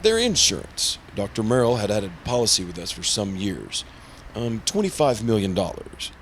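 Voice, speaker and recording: A man's voice: on the low side, very animated, moderate pace, fairly bright tone, slight background noise. A man playing a prim, earnest, easily flustered insurance broker in old-time radio drama style. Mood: worried, explanatory. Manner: prim, formal, exasperated. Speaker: supporting actor in a radio play.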